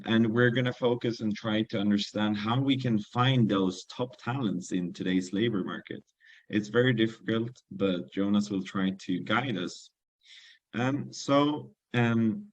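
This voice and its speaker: A man's voice: lowish voice, no echo. A young man, a business intern hosting a webinar, polite and a little hesitant, with many ums and uhs over a video call. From Sweden. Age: young adult.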